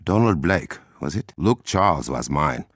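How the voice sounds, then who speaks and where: An actor playing a dignified Wakandan warrior king in a video game, with an African accent, a gravelly husky voice and formal, measured, dryly witty phrasing. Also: very deep voice, big pitch swings, fairly bright tone, dry recording, studio quality, exaggerated voice. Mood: dry, curious. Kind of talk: video game character dialogue.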